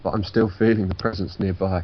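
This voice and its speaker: A man reading a visual novel's narration out loud in a casual way, now and then restarting a line. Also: low-pitched, fairly even intonation, fairly quick, dull audio, slight background noise. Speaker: guest co-reader on gaming video.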